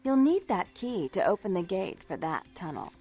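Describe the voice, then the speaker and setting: A woman's voice: mid-range voice, sing-song, moderate pace, mellow, husky. A woman voicing a haughty, controlling vampire nightclub owner in a video game, prim and condescending. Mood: businesslike, imperious. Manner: prim, condescending, formal diction. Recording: music behind.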